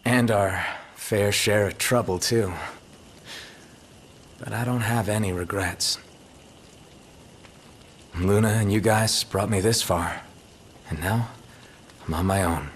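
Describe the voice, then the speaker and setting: An actor playing a resolute young prince in a fantasy game, plainspoken and earnest. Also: male voice, lowish voice, slow pace, long pauses. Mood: wistful, grateful. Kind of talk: dramatic video game voice acting. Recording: faint background ambience noise.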